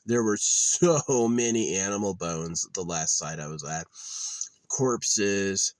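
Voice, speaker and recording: Young man, very low voice, meandering casual delivery, dry close sound. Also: slow, no room sound. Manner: meandering, offhand, trailing off with filler. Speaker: vlogger. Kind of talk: rambling casual monologue. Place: outdoors at a quiet wooded campsite.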